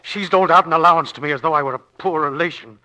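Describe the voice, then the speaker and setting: A bitter, plotting twin brother in a vintage radio play. His voice sits somewhat high and has a sharp, forceful edge, full of resentment. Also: fast. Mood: bitter, scheming. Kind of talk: 1940s radio drama acting.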